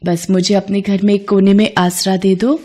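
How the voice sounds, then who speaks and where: A woman's voice: medium pitch, flat delivery, normal speed, mellow, lightly acted. A woman voicing a devoted, sweet and eager goddess wife in a devotional story, respectful in manner. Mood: humble pleading. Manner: respectful, pleading, wonder-struck. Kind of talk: devotional story dramatization in Hindi.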